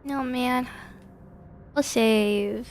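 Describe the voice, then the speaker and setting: A woman's voice: fairly high pitch, steady tone, extremely slow, a little hiss or hum. A woman game streamer, moving between reading game narration aloud and casual live chat.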